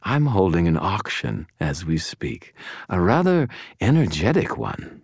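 A man's voice: deep voice, sing-song, unhurried, breathy edge, no room sound, clean studio sound, pitched above the performer's own voice, heavily acted. A man voicing a smug, gloating male villain. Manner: taunting, self-satisfied. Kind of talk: audiobook character voice.

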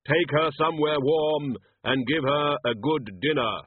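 A man's voice: a little high, unhurried, slight background noise, pitched above the performer's own voice, close to an everyday voice. A man voicing Death, a terse, commanding male spirit. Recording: tinny lo-fi audio.

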